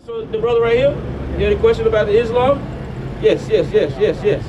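Man, high voice, street preacher, insistent questioning, handheld mic, outdoor noise. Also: lively intonation, a little fast, recorded from a distance, lo-fi sound. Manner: insistent, questioning, repeats himself. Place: outdoors in a wooded park. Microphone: handheld microphone.

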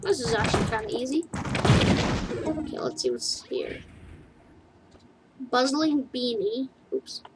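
Woman, let's play commentary, slightly high voice, rambling, giggly, game music behind. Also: unhurried, long pauses. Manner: chatty, rambling, with giggles and excited yelps. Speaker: gaming YouTuber.

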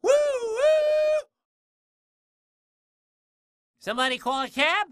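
A man voicing a small, cranky, wisecracking undead sidekick creature in a video game, whiny and excitable. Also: very high voice, measured pace, no room sound, studio quality, exaggerated voice. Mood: excited. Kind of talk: cartoonish video game acting.

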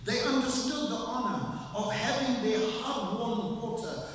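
An older man preaching a sermon from a pulpit, with emphatic pauses that stretch out key phrases. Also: very high-pitched, a bit bright. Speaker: pastor. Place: small church hall with stage and pulpit. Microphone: room mic at a distance. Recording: echoey hall, distant mic, faint hiss.

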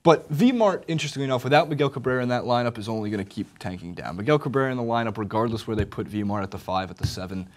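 A man's voice: mid-range voice, animated, rapid-fire, hardly any pauses. A young American man hosting a sports panel chat from a studio desk on a lapel mic. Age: young adult. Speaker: sports show host.

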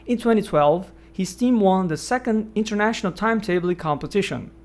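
A middle-aged man hosting a podcast interview, reading an introduction in a measured, friendly way from his home office. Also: high voice, animated, a little mellow. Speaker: podcast host.

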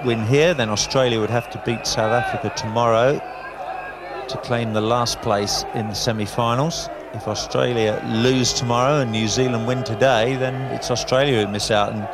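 A man giving live television cricket commentary in a measured, factual way with pauses. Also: medium pitch, steady tone. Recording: loud crowd noise, music, archive broadcast. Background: loud crowd and music.

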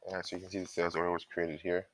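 Very low-voiced man, bright and close. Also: monotone, very fast, dry recording, poor audio. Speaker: software trainer. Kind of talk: informal step-by-step software walkthrough.

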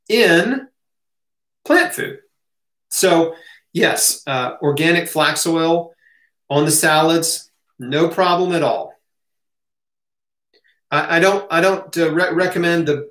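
An American man with a fairly high voice talks casually to camera in a home office. The room adds a clear echo. He hosts a Q&A, giving opinionated answers in colloquial language. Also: a bit bright. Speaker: host answering audience questions. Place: small home office, indoors.

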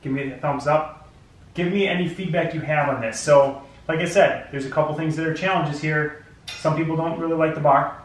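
A man's voice: a little high, fairly even intonation, moderate pace. A man in his thirties giving a casual home gym product review, rambling with many fillers and restarts, recorded indoors on a camera mic a few feet away. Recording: very echoey room.

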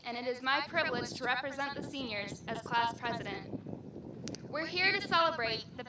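A teenage girl, the senior class president, reading a formal, measured graduation speech at a podium microphone outdoors. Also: sits high, far from the mic, background noise. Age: late teens. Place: outdoor stadium field. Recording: echoey PA heard from afar.

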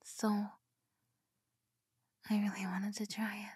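A woman's voice: mid-range voice, flat delivery, takes it slowly, a little mellow, breathy edge, no echo. A young woman whispering close to the mic in an ASMR roleplay, giggly and affectionate. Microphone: close binaural mic.

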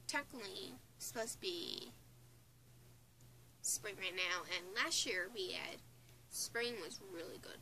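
High bright-voiced young Newfoundland woman. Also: a little hiss or hum, poor audio. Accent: Newfoundland English. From Newfoundland, Canada. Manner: chatty, rambling, with frequent restarts and giggles. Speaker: vlogger. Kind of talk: casual opinion vlog. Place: small bedroom under a bunk bed, indoors. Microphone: phone or webcam close in front.

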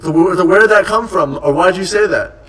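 A man's voice: sits high, brisk, distant mic, a crowd in the background. A young man hosting a live stream, chatting casually with slang, swearing and playful teasing from a desk in an indoor room. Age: young adult. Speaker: streamer host.

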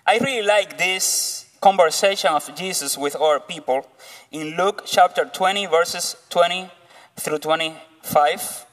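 A man in his thirties with a Cuban accent, preaching as a guest on a church stage with a slow, careful delivery. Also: high voice, a bit bright. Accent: Cuban Spanish. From Cuba. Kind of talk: sermon. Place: church stage, large hall. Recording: long reverb of a church hall.

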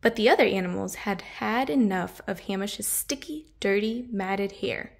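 A woman's voice: mid-range voice, moderate pace, creaky. A woman reading a children's picture book aloud, expressively, drawing out the descriptive words for emphasis. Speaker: children's story narrator.

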